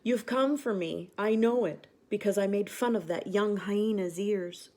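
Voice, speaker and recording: A cowardly male dog from a children's storybook, acted out by a woman. He pleads and stammers in fright, dramatic and grovelling, with a soft mellow tone. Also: medium pitch, unhurried, pitched above the performer's own voice, natural voice. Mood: pleading.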